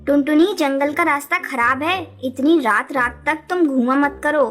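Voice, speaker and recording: A woman's voice: high-pitched, normal speed, fairly bright tone, faint music behind. Woman as a scolding cartoon mother bird. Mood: stern. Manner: scolding, admonishing. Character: strict, worried mother bird.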